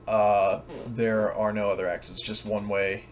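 A man narrating a tabletop game as game master in a casual, halting, self-correcting way. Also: fairly low pitch, little pitch movement. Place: at home, online voice session. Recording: clearly noisy home recording.

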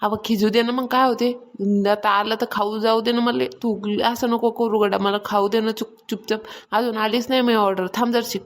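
A woman's voice: a little high, flat delivery, moderate pace, lightly acted. Woman voicing a stubborn, food-loving cartoon village woman. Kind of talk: Marathi comedy cartoon dialogue.

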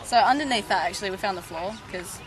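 A woman's voice: medium pitch, fast talker, rough recording. A woman archaeologist eagerly explaining an excavation on site, talking in a chatty way that often restarts phrases.

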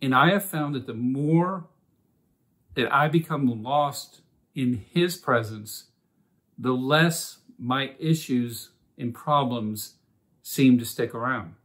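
A man's voice: mid-range voice, a bit bright. Earnest man teaching a Bible study. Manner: earnest, measured, reads scripture aloud.

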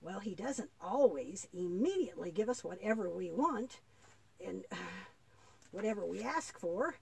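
A woman voicing a patient, devout old grandfather in a children's puppet play, explaining earnestly and haltingly with a husky voice. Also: medium pitch, expressive, a little mellow, exaggerated voice.

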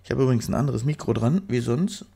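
A man's voice: medium pitch, lively intonation, quick pace, gravelly, warm tone, husky. A man with a stuffy nose from a cold, chatting casually through a photo-editing tutorial voice-over, rambling and correcting himself as he goes. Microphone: studio microphone.

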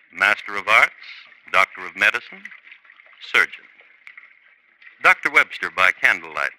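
A man hosting and narrating 1950s radio crime drama in dry, deliberate, clipped phrases. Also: on the low side, sharp tone, a little hiss or hum, rough recording. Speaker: host and narrator.

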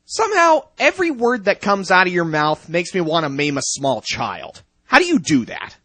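A man's voice: high voice, huge pitch swings, moderate pace, bright, forceful tone, no room sound, full character voice. A man playing an irritable, foul-mouthed young secret agent, sarcastic and exasperated, in a video game parody.

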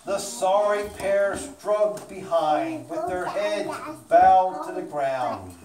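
A woman narrates a children's puppet show at a slow, measured pace. She is heard from a distance in an echoey room with faint noise. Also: fairly low pitch, lively intonation, crowd noise.

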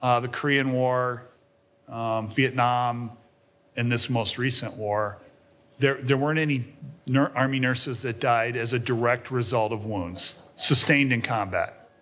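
A man's voice: medium pitch, fairly even intonation, unhurried, fairly bright tone. A man speaking on a panel in an auditorium, giving a talk and answering audience questions with frequent ums and restarts. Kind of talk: lecture and audience Q&A. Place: auditorium stage panel table. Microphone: table microphone.

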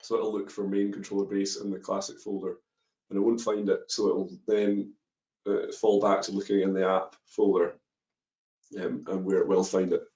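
A man's voice: deep voice, flat delivery, moderate pace, darkish tone. A man presenting a coding webinar, walking through code step by step with many ums and uhs. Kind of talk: live coding walkthrough. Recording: dull echoey webinar audio.

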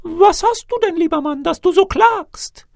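A man voicing a fretful, questioning wife dove in a shrill falsetto for a storybook reading. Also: measured pace, gravelly, a little mellow, no echo, clean studio sound, exaggerated voice.

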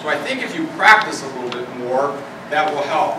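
A man's voice: a little high, animated, brisk. An older man giving a plain-spoken, conversational evaluation of a contestant's speech to an audience. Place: meeting hall with an audience. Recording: echoey hall, far from mic, noisy.

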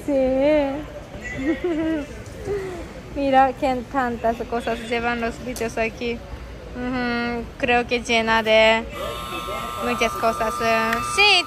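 A Japanese woman vlogging at an airport in careful, halting Spanish full of fillers. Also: fairly high pitch, fairly even intonation. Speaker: travel vlogger. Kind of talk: casual travel vlog narration. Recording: noisy airport background.

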